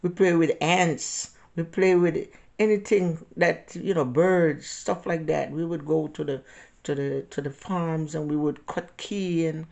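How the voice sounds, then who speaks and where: An older woman with a Jamaican accent tells stories about her own life in a loose, rambling way, often correcting herself and laughing softly. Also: low voice, fairly even intonation, measured pace, creaky. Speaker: interviewee. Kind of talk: casual personal storytelling.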